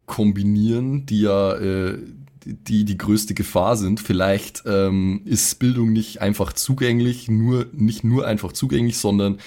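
A man chatting casually as a podcast regular, brainstorming out loud in loose, tentative phrases. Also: lowish voice, fairly even intonation, unhurried.

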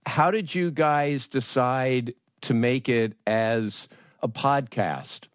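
A man's voice: medium pitch, slow pace, clear, resonant tone, no room sound, studio quality. A man hosting a podcast interview in a casual, conversational style.

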